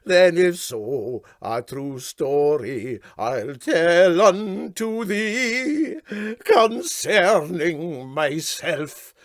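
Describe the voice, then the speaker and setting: Storybook skipper played by a man: Scottish Highlander, pompous and sentimental, slow, swooping, raised voice. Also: sing-song, no echo, studio quality, full character voice. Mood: grandly warbling. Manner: ponderous, self-important, hesitant.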